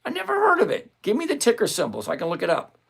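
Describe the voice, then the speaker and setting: A man with a gravelly, rough-edged voice that sits a little high for a man. He hosts a live trading stream from a home desk full of screens and talks through his trades out loud. He answers viewers by name in a loose, chatty way. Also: huge pitch swings, very fast. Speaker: livestream host and options trader. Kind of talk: live-stream trading commentary, reading chat aloud.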